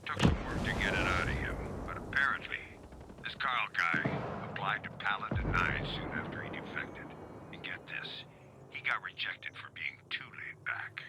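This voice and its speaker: An actor playing a dry, guarded older covert operative in a video game, speaking in a hushed gravelly voice. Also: male voice, low-pitched, animated, normal speed, very noisy. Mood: intent briefing. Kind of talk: video game cutscene acting.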